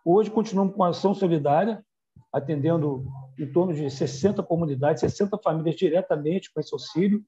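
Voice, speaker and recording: Casting: male community leader, Rio accent, high mellow voice, halting speech-giving over lo-fi call. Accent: Rio de Janeiro. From Rio de Janeiro, Brazil. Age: middle-aged. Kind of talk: thank-you speech on a video call. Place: small room on a video call. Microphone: wired earphone mic. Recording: dull, lo-fi video call audio.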